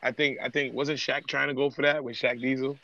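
A man co-hosting a casual chat show, bantering excitedly and laughing often. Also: medium pitch, brisk, vocal fry, dull audio, a little hiss or hum. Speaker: podcast co-host.

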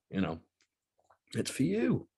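A man's voice: mid-range voice, huge pitch swings, very slow, gravelly, a little mellow, husky. A British man hosting a podcast in a chatty, joking, rambling way. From Britain. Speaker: podcast host. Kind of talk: casual podcast chat.

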